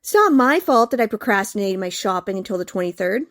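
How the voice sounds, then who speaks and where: A woman's voice: mid-range voice, lively intonation, fast, fairly bright tone, no echo, clean studio sound. An actress in a comic radio play playing a smug, scheming young woman with a sardonic, haughty, taunting manner.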